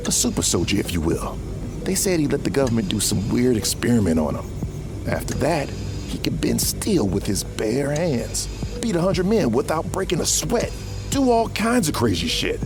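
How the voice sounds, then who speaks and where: A man playing an easygoing military man who tells stories informally in an audio drama. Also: medium pitch. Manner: informal, colloquial. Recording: music behind the voice.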